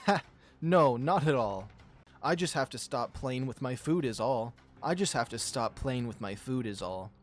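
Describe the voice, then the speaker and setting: A man's voice: mid-range voice, expressive, normal speed, lightly acted. A man voicing a mischievous, savage lioness warrior in a visual novel, with mocking, theatrical delivery. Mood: cocky. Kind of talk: visual novel character acting.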